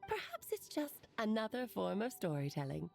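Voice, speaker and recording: A woman's voice: medium pitch, huge pitch swings, moderate pace, creaky, darkish tone, soft background music. A woman playing a playful, teasing witch aunt in an anime game, with whimsical, theatrical airy confidence. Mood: breezy.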